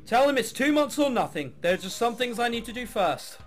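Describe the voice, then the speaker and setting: A man's voice: very high-pitched, very animated, brisk, soft background music, pitched above the performer's own voice. A man reading the visual novel lines of a tough, cocky young female street fighter, defiant and dismissive. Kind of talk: visual novel dialogue read aloud.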